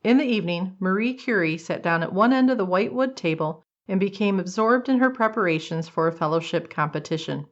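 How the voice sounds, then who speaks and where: Female narrator for a radio play, slightly low voice, scripted storytelling, somewhat muffled audio. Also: animated, a little fast, few pauses.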